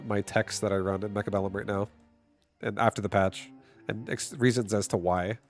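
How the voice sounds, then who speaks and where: Stuffy-nosed man streaming a strategy game. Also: on the low side. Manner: casual, thinks out loud, bursts into excited shouts. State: stuffy nose. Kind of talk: live gameplay commentary.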